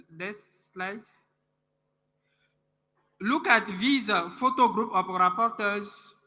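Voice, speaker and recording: Man, halting report; very bright voice, slow, in an echoing hall. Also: high-pitched. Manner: halting, many "uh" pauses, calls slides. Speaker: conference rapporteur. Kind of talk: conference report. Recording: reverberant conference hall.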